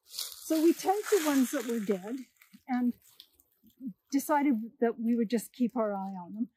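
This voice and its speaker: A woman's voice: fairly high pitch, lively intonation, a little slow, mellow, husky, low-quality recording. An older woman guiding a casual walking tour of her woodland outdoors, explaining what she observes, recorded by a camera a few meters away. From Canada. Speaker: woodlot owner giving a tour. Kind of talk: casual guided walk. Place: autumn forest outdoors.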